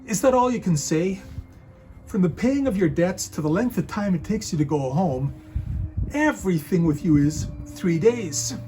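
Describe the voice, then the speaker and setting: A man's voice: sits high, expressive, moderate pace, dark tone, faint music behind. A man playing a cold, calloused Roman soldier in a dramatic reading, formal and taunting.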